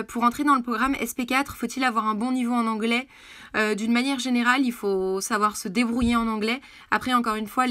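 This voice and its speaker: A woman's voice: a little high, a bit bright, dry recording, studio quality. A woman in her twenties giving informal advice to students in a live Q&A, hesitant and thinking aloud. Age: 20s. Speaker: start-up founder and business school alumna answering live questions.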